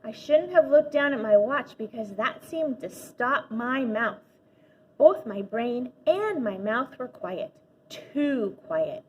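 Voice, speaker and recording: A woman with a fairly high voice reads a children's storybook aloud. Her delivery is animated, with dramatic emphasis on key words. The recording is a little dull and lo-fi, with faint noise behind her. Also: takes it slowly. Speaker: teacher reading a children's book aloud.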